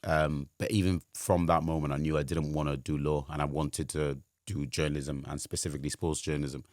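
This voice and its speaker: A man's voice: rumbling low, level tone, fairly quick, dry recording, clean studio sound. A man, a guest telling his career story in casual chat, rambling with lots of fillers. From Wales. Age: 20s. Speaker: guest, sports journalist.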